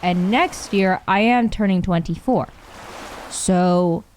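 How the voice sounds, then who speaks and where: A chatty young woman in her twenties gives game commentary in an excitable, casual way and keeps breaking into laughter. Her voice sits in a middle pitch range, recorded close on a desk mic with a faint hiss underneath. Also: slow. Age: 20s. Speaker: streamer.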